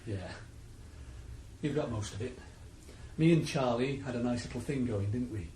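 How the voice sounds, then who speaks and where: A man's voice: mid-range voice, moderate pace, mellow, roomy sound, a little hiss or hum, natural voice, poor audio. A man playing a smug sneering drug dealer. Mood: boastful. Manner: sneering, coolly threatening. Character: smug male cocaine dealer, menacing and cool. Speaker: villain in radio play. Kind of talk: 1980s radio drama acting.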